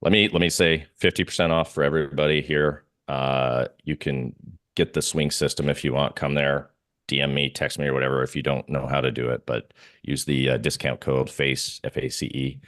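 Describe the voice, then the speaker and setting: A man speaking casually and haltingly as an interview guest, talking about trading in an easygoing way. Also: very deep voice, level tone, fairly bright tone, no room sound, studio quality. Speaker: interview guest, systematic trader and newsletter writer. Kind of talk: casual interview talk on markets.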